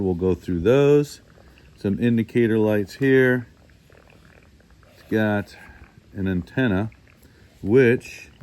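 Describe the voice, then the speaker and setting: A man with a fairly low voice hosts a casual product walkthrough. He speaks informally and without a script, thinking aloud as he explains things step by step. The delivery is that of a hobbyist showing a device hands-on. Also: a little mellow. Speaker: host of a hobby radio review channel.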